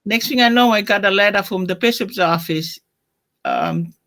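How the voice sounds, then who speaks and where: Older woman, Tongan accent, reflective halting storyteller, interview guest, mid-range pitch. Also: wildly swooping pitch, a bit bright.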